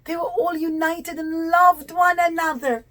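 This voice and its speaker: A woman's voice: very high voice, little pitch movement, unhurried, a bit bright. A woman telling a story to young children in simple, repetitive, emphatic phrases. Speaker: children's puppet show storyteller.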